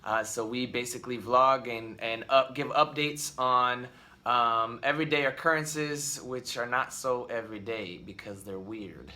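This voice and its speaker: Bright-voiced rambling dad vlogger. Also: male voice, medium pitch, steady tone, measured pace. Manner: rambling, lots of ums and restarts. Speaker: vlog host, father. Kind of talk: casual talk to camera. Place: at home.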